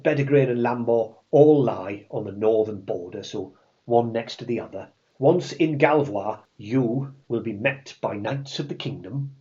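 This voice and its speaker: A man's voice: mid-range voice, dark tone, highs cut off, natural voice. A man voicing Merlin, an old male wizard, with a put-on Welsh accent, giving orders. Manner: commanding, briefing tone.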